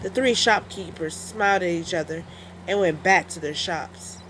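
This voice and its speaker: A woman storyteller narrating a children's story in an expressive, deliberate read-aloud style. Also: lowish voice, a little hiss or hum, rough recording.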